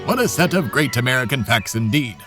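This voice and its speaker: An actor playing a pompous, bossy, self-indulgent host in a comedy audio drama. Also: male voice, medium pitch, animated, a little fast, fairly bright tone, faint music behind, lightly acted. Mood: showy, self-satisfied. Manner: bossy, bombastic. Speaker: podcast host.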